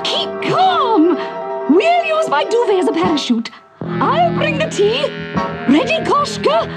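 A plucky, eccentric storybook aunt, put on by a woman, exclaims and gives orders briskly. The voice is high and swoops about, over loud music. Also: sing-song, heavily acted. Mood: brisk, urgent. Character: plucky eccentric tea-loving aunt, unflappable and polite.

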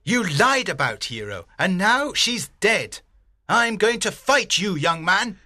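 A man acts out an old father in an audiobook drama, indignant over his daughter's honour. His voice is sharp and forceful, swinging widely in pitch as he pleads and insists. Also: very animated, unhurried, dry recording, clean studio sound, exaggerated voice. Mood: angry.

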